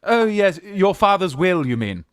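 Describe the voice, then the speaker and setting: Farce role: woman playing a British young gent disguised as an aunt, arch and flustered, lowish female pitch, very animated. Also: measured pace, dry recording, studio quality. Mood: bluffing, hesitant. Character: young gentleman posing in disguise as a rich dear aunt. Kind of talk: Victorian stage farce, radio play acting.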